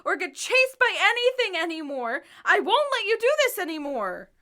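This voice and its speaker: A woman's voice: very high-pitched, big pitch swings, normal speed, bright, forceful tone, full character voice. A woman playing an emotional, defiant young musician in a radio drama, earnest and emphatic.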